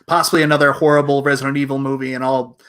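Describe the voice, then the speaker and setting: A man's voice: medium pitch, flat delivery, rapid-fire, a bit bright, clean tone. A man co-hosting a casual panel chat, opinionated, with a wry joking manner. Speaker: podcast co-host.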